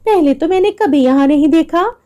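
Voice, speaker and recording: A woman's voice: high voice, moderate pace, mellow, lightly acted. A woman voicing a selfish, vain young sister in a story, pert and a little whiny. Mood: surprised, eager.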